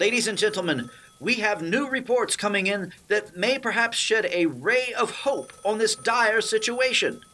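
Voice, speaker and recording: A man's voice: sits high, animated, moderate pace, hardly any pauses, pushed and bright. A man playing a formal, emphatic news anchor in a 1930s radio drama spoof. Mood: urgent, formal.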